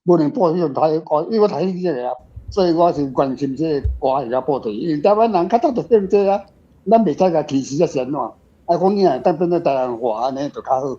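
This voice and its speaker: Husky, mellow older man, slightly muffled. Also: on the high side, animated. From Taiwan. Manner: repetitive, halting phrasing. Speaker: author guest. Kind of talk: informal discussion. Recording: slightly muffled, faint guitar music behind. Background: faint guitar music.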